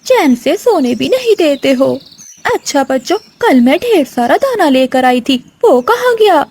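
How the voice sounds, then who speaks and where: A woman's voice: sits high, big pitch swings, a little slow, darkish tone, heavily acted. A woman voicing a lazy, irritable mother crow with a complaining, snappish manner in a moral-story cartoon. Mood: grumpy.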